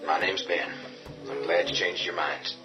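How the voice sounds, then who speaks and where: An actor playing a calm, firm man who takes charge of the survivors in a radio drama. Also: low voice, a little slow. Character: steady male leader of the survivors. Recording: dull lo-fi audio with music.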